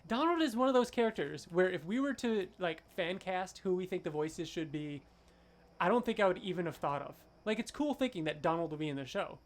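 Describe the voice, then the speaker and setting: Excitable podcast co-host, casual. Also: male voice, high-pitched, fairly quick. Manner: excited, thinks aloud, trips over names. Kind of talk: casual chat.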